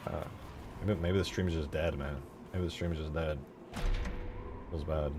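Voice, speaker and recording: A man's voice: very deep voice, steady tone, normal speed, background noise. A man giving casual live stream commentary as he works on a game, muttering and thinking aloud.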